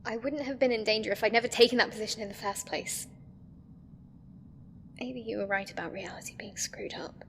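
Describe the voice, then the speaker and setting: A woman's voice: fairly high pitch, brisk, pauses often, natural voice. A woman playing an exhausted prisoner who speaks in a wry, half-whispered way in an audio drama. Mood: weary. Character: weary imprisoned woman, dry humor. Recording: reverberant, noisy ambience.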